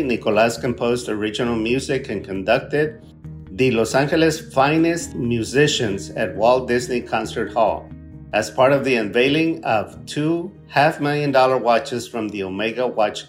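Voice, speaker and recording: A man hosting a podcast, reading an upbeat scripted introduction and then asking questions with some hesitation. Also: mid-range voice. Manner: earnest, promotional delivery with fillers in questions. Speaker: podcast host.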